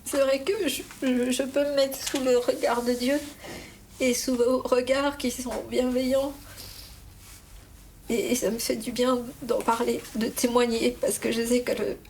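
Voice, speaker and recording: A woman giving a personal testimony in a group, halting and earnest, repeating words. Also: fairly high pitch, little pitch movement, a little mellow, slight background noise. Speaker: retreat participant.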